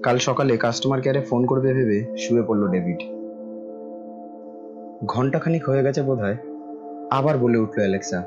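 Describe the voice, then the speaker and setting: A man's voice: mid-range voice, a little fast, pauses often, dull audio, music in the background. A man narrating a scripted horror story in a dramatic, suspenseful style.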